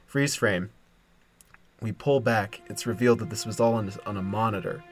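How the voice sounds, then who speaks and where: A man co-hosting an improvised comedy podcast, cracking dry, deadpan jokes and laughing at the bits. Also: lowish voice, normal speed, faint music behind. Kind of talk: casual improvised comedy banter.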